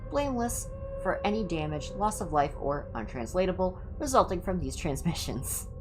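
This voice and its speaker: Lady doing a chirpy turtle gadget reading out disclaimers, pitched way up, sing-song, music behind it. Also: very animated, moderate pace. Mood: cheerful, officious. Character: chirpy talking turtle artifact delivering official disclaimers.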